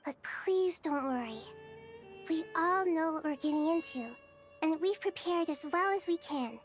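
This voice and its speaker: Young girl deity, high voice, gentle, wise, articulate, game dialogue, music underneath. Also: expressive. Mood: gentle, reflective. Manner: measured, articulate, formally polite. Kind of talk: video game story acting.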